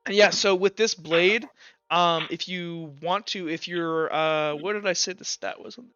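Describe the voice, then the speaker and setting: A man's voice: sits high, measured pace, pushed and bright, highs cut off, faint music behind. A man narrating and explaining a tabletop roleplaying game as game master in a rambling, self-correcting way.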